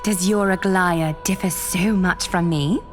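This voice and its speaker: A woman's voice: lowish voice, fairly even intonation, unhurried, faint music behind, lightly acted. An actress playing an elegant, imperious noblewoman in a video game, with refined, exacting speech. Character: elegant, regal, exacting female weaver noblewoman.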